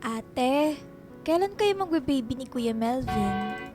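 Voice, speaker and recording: A woman's voice: high voice, expressive, takes it slowly, music in the background. A woman playing a carefree, wheedling younger sister with a playful manner in a radio drama. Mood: casual, nosy.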